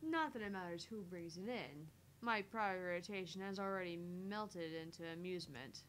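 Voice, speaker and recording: A woman voicing a sharp-tongued, sardonic heroine in a dry, taunting and theatrical read-aloud of a visual novel. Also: fairly low pitch, normal speed, slight background noise, close to an everyday voice, poor audio. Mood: wry. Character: sharp-tongued sardonic woman narrating her thoughts, teasing and haughty.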